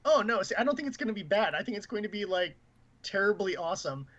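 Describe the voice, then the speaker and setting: A man's voice: high-pitched, expressive, fast, a bit bright. A man co-hosting a gaming livestream, chatting casually in a rambling, opinionated way. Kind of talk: casual chat and commentary on games news. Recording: muffled, lo-fi stream audio.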